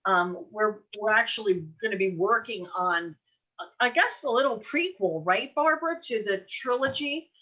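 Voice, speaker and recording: A woman's voice: mid-range voice, normal speed, bright, forceful tone, lo-fi sound. An older woman co-hosting a show, talking in a chatty, effusive way in casual conversation. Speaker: podcast co-host and producer. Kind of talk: casual chat.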